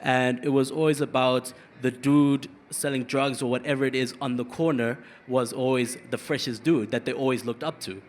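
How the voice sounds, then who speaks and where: A man born in South Africa and raised partly abroad, telling a story on a live panel with energetic, quick bursts. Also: medium pitch. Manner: energetic, fast bursts, many fillers. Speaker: panel guest. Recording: long hall reverb, faint room noise.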